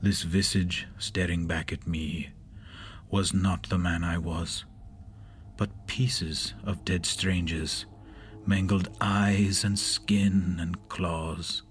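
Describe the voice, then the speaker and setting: An actor playing an anguished reanimated monster, a man reciting his story gravely in measured horror verse. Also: low-pitched, little pitch movement, faint music behind. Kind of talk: dramatic horror monologue.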